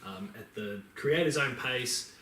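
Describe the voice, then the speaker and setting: A man's voice: medium pitch, lively intonation, unhurried, bright, forceful tone. A man co-hosting a podcast, giving his opinions in casual conversation. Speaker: podcast co-host. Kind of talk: casual chat about film and games. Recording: echoey room, distant mic, faint noise.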